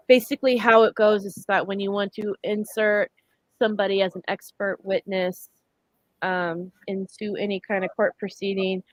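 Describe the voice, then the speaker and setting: A woman's voice: on the low side, no room sound, low-quality recording. A woman hosting a casual livestream discussion, congested from a cold, chatty and full of fillers and self-corrections. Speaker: livestream host.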